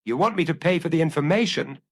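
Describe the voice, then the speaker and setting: A man voicing a brisk, commanding ex-police detective in an audiobook, brusque and impatient as he bargains. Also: fairly high pitch, lively intonation, no echo, pitched above the performer's own voice. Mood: shrewd. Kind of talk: audiobook character acting.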